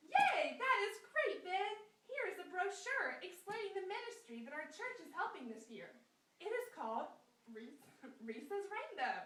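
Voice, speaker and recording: A bright, high, lively girl puppet, voiced by a woman, earnest and explanatory in a sing-song storybook manner. Her voice swoops up and down with a sharp, brilliant edge. She sounds set back from the mic in an echoey room. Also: unhurried, recorded from a distance, heavily acted. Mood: cheerful. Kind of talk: scripted puppet skit read aloud.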